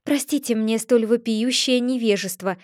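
A woman's voice: high-pitched, lively intonation, measured pace, darkish tone, no echo, clean studio sound. Woman voicing a polite little girl. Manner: polite, careful, apologetic. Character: polite, earnest seven-year-old girl.

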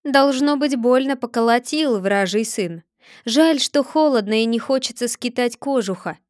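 A woman's voice: on the high side, animated, moderate pace, no room sound, studio quality, lightly acted. A woman voicing a sly, stubborn middle-aged Cossack man who mutters indignantly to himself.

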